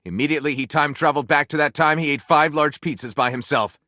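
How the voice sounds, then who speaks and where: A man voicing a flamboyant, excitable, pompous author character for a video game, narrating in a grandiose, melodramatic storytelling style. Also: fairly high pitch, quick pace, dry recording, clean studio sound. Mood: theatrical, wry. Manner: grandiose, melodramatic narrator delivery with gushing exclamations. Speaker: voice actor playing a game character.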